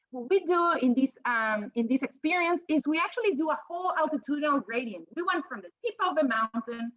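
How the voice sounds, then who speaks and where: High-pitched Peruvian-accented woman presenting. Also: fairly bright tone, no echo, dull audio. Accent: Peruvian Spanish. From Peru. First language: Spanish. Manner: hesitant, many ums and restarts. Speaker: biology professor. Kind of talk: presentation over slides.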